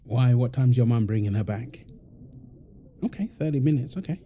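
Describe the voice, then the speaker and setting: A man playing a doting, playful young husband and proud father in a wholesome audio roleplay, soft and affectionately teasing. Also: mid-range voice, moderate pace, a little hiss or hum, close to an everyday voice.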